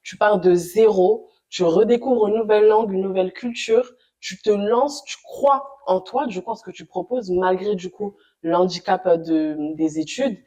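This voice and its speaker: A woman's voice: medium pitch, normal speed, few pauses, mellow, muffled recording. A woman interviewing a guest, encouraging and often summing up what she hears. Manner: encouraging, rephrases and sums up. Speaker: interviewer, host.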